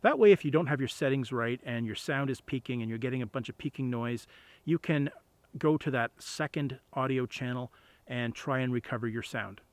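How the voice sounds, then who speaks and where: A man's voice: medium pitch, normal speed, dry recording. A plain-spoken man explaining gear in a casual review to camera, recorded outdoors on an on-camera microphone. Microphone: on-camera shotgun microphone.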